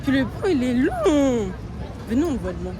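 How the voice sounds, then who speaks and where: A woman's voice: high-pitched, sing-song, moderate pace. A young woman chatting casually to her viewers as she vlogs through city streets and shops, slangy and talkative. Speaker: vlogger host. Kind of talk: casual travel vlog narration. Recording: loud street crowd and music behind.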